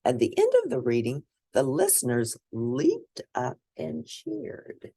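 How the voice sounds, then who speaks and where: Expressive woman reading aloud, very animated pitch. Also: gravelly, slightly rough, dry recording, studio quality. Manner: deliberate, expressive, teacherly. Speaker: children's read-aloud narrator and educator. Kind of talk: picture book read-aloud with asides to young listeners.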